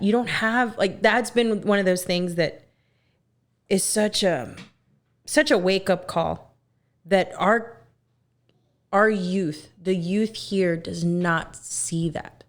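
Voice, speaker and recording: A woman in her twenties from Texas, a singer talking as a podcast guest in an earnest way, often pausing with fillers as she searches for words. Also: medium pitch. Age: 20s. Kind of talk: casual podcast conversation.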